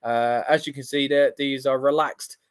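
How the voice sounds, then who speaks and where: A man co-hosting a wrestling livestream, chatting casually and getting excited in bursts. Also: medium pitch, moderate pace, clean tone, no room sound, clean studio sound. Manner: excitable, talks in bursts with restarts.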